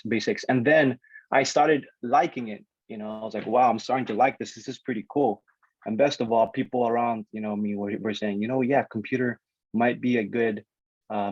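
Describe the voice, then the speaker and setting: A young man from the Philippines, raised on Guam, whose first languages are Ilocano and Tagalog, giving a relaxed, chatty alumni talk over a video call with lots of fillers and restarts. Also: medium pitch, dry recording. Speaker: alumni guest speaker. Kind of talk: casual presentation on a video call. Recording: dry, slightly dull call audio.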